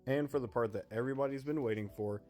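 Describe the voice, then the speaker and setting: Male review narrator, mid-pitched, clear explanatory presenter delivery, music underneath. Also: fast, warm tone. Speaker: product reviewer. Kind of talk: scripted product review voice-over. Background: background music.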